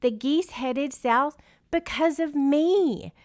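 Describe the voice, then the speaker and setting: A mighty female autumn wind from a picture book, played by a woman reader. She is proud and exasperated, pitched up and theatrical, drawing out her emphatic words slowly. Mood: scornful. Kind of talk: children's picture book read-aloud.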